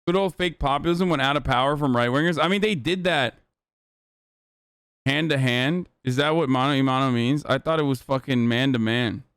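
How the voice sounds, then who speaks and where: A man's voice: medium pitch, a bit bright, smooth, no room sound. A man whose first language is Turkish, ranting heatedly and profanely while reacting on a live stream. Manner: profane, heated, rapid rants. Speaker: Twitch streamer.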